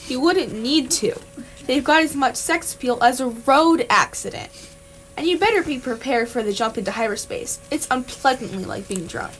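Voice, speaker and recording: Galactic hitchhiker, radio theatre woman actor: high voice, breezy, nonchalant, unflappably matter-of-fact. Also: lively intonation, normal speed, fairly bright tone, a little hiss or hum, rough recording. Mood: matter-of-fact, dry. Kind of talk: amateur radio theatre dialogue.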